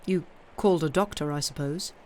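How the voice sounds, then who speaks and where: A shaken nobleman reacts to grim news in urgent, halting bursts, as an audiobook reader gives him a raised, louder voice. The delivery is anxious and stammering, clearly a period storybook character. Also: medium pitch, a little hiss or hum, close to an everyday voice. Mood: concerned. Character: agitated nobleman, a magistrate, anxious.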